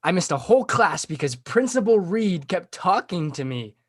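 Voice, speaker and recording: Beleaguered high school class president, male actor, defensive and halting, very animated pitch, dry close sound. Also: slightly rough, no echo, heavily acted. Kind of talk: comedy script table read.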